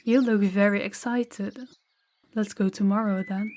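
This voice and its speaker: A woman playing a gentle, candid young princess in an intimate audio roleplay, soft-spoken and confiding with hesitant pauses. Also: medium pitch, steady tone, slight background noise, close to an everyday voice. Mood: gently teasing, kind.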